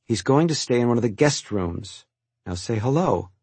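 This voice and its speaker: A man's voice: mid-range voice, expressive, normal speed, darkish tone, slightly rough, no echo, pitched above the performer's own voice. A man voicing a firm, scolding middle-aged mother at the dinner table.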